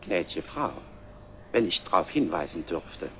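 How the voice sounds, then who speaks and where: An actor playing a fussy, over-polite but insistent landlord in a 1960s German TV drama, formal and hesitant. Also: male voice, sits low, very animated, a little slow, sharp tone, full character voice. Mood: politely insistent. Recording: slightly noisy old 1960s TV soundtrack.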